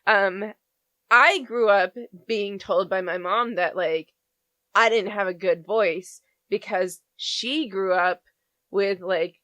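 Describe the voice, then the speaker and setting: A woman's voice: medium pitch, slow, sharp tone, dry recording. A young adult woman cohosting a podcast, talking casually with many fillers and small laughs. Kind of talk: casual chat.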